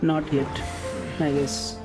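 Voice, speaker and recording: A woman's voice: low-pitched, flat delivery, takes it slowly. A woman live streamer chatting casually while playing a game. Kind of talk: casual chat while gaming. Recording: game music behind the voice. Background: game music.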